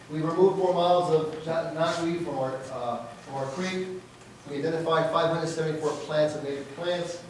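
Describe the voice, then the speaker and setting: Hoarse male presenter, emphatic and proud, slightly high voice, booming room sound. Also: a little hiss or hum. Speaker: organization leader presenting annual results. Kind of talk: annual meeting speech. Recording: very echoey hall, far from mic.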